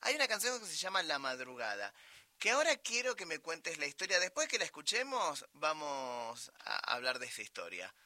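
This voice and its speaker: A man's voice: on the high side, huge pitch swings, moderate pace, pushed and bright, no echo. A man with an Argentine accent hosting a radio interview, prompting his guest and finishing her sentences. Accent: Argentine Spanish. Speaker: radio host.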